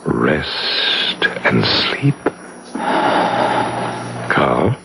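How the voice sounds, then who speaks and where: An actor playing a commanding, sinister cult leader in a 1970s radio drama, solemn, measured and hypnotic, on an old broadcast. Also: male voice, very deep voice, huge pitch swings, creaky, very noisy, exaggerated voice. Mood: soothing, hypnotic.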